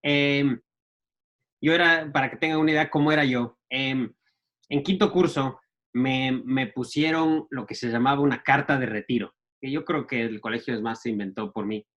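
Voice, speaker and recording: A man, telling stories of his youth in a casual, halting way full of restarts and fillers. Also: mid-range voice, a bit bright, highs cut off. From Ecuador. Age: 40s. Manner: halting, many restarts and fillers, laughs at himself. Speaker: guest entrepreneur. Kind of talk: casual storytelling.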